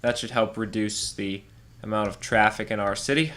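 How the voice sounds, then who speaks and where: A man's voice: fairly low pitch, moderate pace, fairly bright tone. A man with a stuffed-up nose chatting casually over a city-building game as a let's play host, laughing often and thinking aloud. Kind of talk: casual gameplay commentary.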